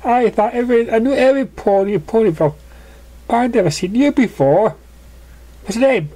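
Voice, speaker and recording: A man's voice: very high-pitched, brisk, dark tone, pitched above the performer's own voice, rough recording. A man voicing a bubbly, playful young female cartoon pony in a cheery, sing-song manner. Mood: bubbly, friendly.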